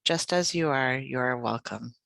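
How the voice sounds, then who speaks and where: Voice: mid-range voice, gravelly, no room sound. Soothing guided meditation voice, close and dry. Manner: gentle, soothing, unhurried instruction. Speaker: yoga nidra guide.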